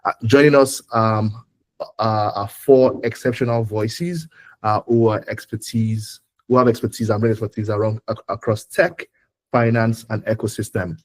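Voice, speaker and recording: A man's voice: fairly low pitch, fairly even intonation, moderate pace. A man hosting an online launch event, introducing speakers in a loose, chatty way with frequent fillers. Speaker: event host.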